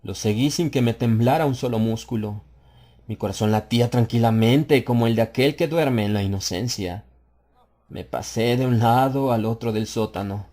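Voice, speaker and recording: A man reading a horror story as an audiobook narrator, theatrical, building tension with swells in pitch and loudness. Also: moderate pace. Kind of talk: dramatic scripted narration.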